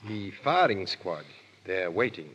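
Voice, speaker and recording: An actor playing a cold, formal, sardonic French villain in a 1930s radio drama. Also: male voice, low voice, very animated, a little slow, a bit bright, heavily acted. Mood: smug, cold. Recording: noisy 1930s radio recording, hall echo.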